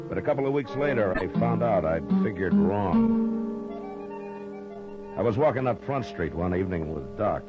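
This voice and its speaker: A deep-voiced, steady American frontier marshal, speaks in terse, understated commands. The sound is that of a dull vintage radio western with music in it. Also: male voice. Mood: grave narration. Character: steady, tough frontier US marshal in Dodge City. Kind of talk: 1950s radio western acting, with first-person narration.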